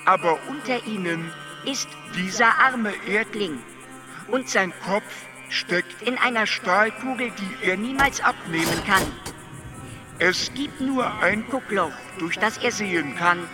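A male actor playing a calm, detached alien who explains things matter-of-factly in a radio drama, at times stuttering on syllables. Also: sits high, unhurried. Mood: detached, explanatory. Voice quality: stuttering, glitchy repeated syllables. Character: detached, matter-of-fact stammering alien being. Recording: music under the voice. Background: music.

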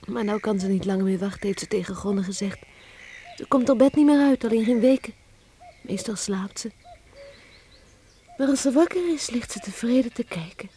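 A woman's voice: medium pitch, expressive, moderate pace, pauses often, mellow, natural voice. An actress playing a tender, anxious village woman in a Dutch radio play, earnest and emotional. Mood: quiet, sorrowful. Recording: noisy old radio play recording.

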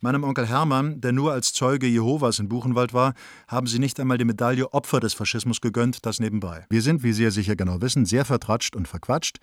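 Actor as sardonic young man, rapid delivery, dry close sound, wry, insistent, radio play letters. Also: medium pitch, no room sound, lightly acted. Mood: insistent, ironic. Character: Alex, ironic, fed-up young theatre man writing letters.

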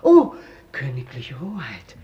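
A woman's voice: very deep voice, huge pitch swings, slow, lo-fi sound, exaggerated voice. A woman acting a dutiful, respectful hospital head nurse in a German radio play.

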